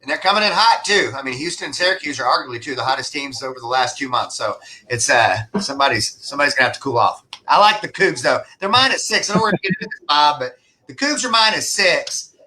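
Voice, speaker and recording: A man's voice: on the high side, lively intonation, fast talker, bright, forceful tone, low-quality recording. A man co-hosting a sports show, giving his picks in casual talk. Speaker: co-host, sports betting pundit.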